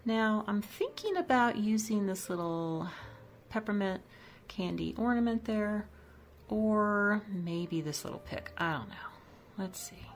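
A woman's voice: mid-range voice, lively intonation, unhurried, slight background noise. A woman chatting casually as she decorates her home kitchen, thinking aloud about each piece. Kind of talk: casual voice-over demo.

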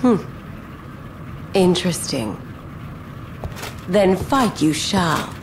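A woman's voice: lowish voice, very animated, extremely slow, noisy background, heavily acted. A woman playing a menacing, cold, taunting sorceress in a video game, speaking in an imperious, theatrical manner. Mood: cold, challenging.

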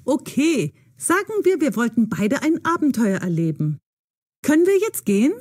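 Teenage girl, adventurous, highish voice, slightly husky, audio drama. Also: very animated, moderate pace, a little mellow. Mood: playful. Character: bright, adventurous teenage girl amateur sleuth. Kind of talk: audio drama for language learners.

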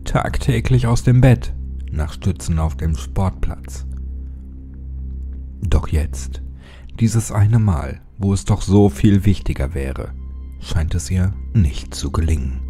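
Male horror audiobook narrator: low, very mellow and dark, dramatic and suspenseful, music underneath. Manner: dramatic, suspenseful delivery with deliberate phrasing.

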